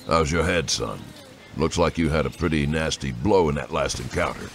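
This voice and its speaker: A man's voice: very deep voice, moderate pace, slight background noise. An actor playing a middle-aged soldier and father in an audiobook drama, blunt and commanding but loving. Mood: gruff affection. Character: gruff older soldier father, stern but loving husband.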